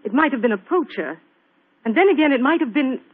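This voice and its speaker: Well-bred young woman client, anxious, very high animated voice, old radio drama. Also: a little fast, husky. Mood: troubled. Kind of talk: 1940s radio drama acting. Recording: tinny, narrow-band old radio broadcast.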